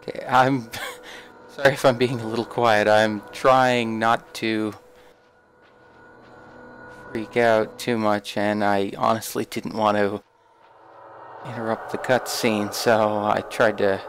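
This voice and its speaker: A man with a low, creaky voice plays a horror game and comments casually as he goes. He speaks in a hushed, nervous mutter. Noise and game ambience sit clearly behind him. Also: flat delivery, slow. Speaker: let's player. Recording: noisy home recording, clear background noise.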